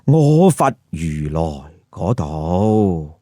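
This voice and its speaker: A man voicing a bodhisattva disguised as a scabby monk, declaiming slowly and solemnly in a told tale. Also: low-pitched, sing-song, warm tone, no echo, studio quality, voice pushed down, full character voice.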